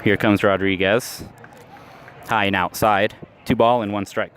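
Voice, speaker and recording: Man calling baseball in a noisy ballpark. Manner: steady, measured call mixing pitch-by-pitch action with stats. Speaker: play-by-play announcer. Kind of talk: baseball radio play-by-play commentary. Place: outdoor ballpark during a live game. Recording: noisy outdoor ballpark ambience.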